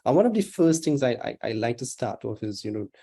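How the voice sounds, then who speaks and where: A man with a Trinidadian accent speaks to an online audience over a video call. His tone is warm and mellow, and he talks in a conversational way with many fillers. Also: medium pitch, very animated, fairly quick, husky. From Trinidad and Tobago. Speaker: guest speaker, digital anthropologist. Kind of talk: webinar presentation.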